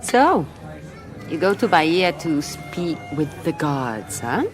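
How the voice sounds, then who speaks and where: Laid-back woman, lowish lively voice, playful short phrases, radio drama, music underneath. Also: takes it slowly, heavily acted. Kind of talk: naturalistic radio drama dialogue.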